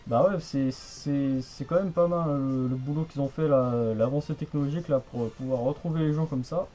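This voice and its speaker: A man's voice: medium pitch, fairly even intonation, normal speed, warm tone, soft background music. A man chatting casually on the radio in a rambling, hesitant, repetitive way. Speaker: amateur radio hobbyist.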